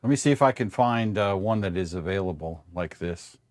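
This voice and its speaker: A man's voice: deep voice, expressive, a little fast, a little mellow, no room sound, studio quality. A man guesting on a livestream, explaining tech gear in a matter-of-fact, informal way. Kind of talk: casual explanatory tech chat.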